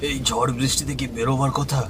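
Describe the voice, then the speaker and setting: A man's voice: medium pitch, level tone, fast, noisy background, voice pushed up, close to an everyday voice. A man voicing an elderly, troubled father-in-law in a horror cartoon, with a sighing, concerned manner. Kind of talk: Bangla horror cartoon dialogue.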